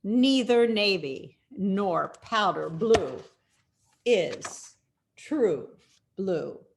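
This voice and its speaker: Slow, expressive woman reading a children's story. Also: mid-range voice, creaky, a little hiss or hum. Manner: expressive, deliberate storytelling with dramatic pauses. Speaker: storyteller, rabbi. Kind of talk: children's picture-book read-aloud.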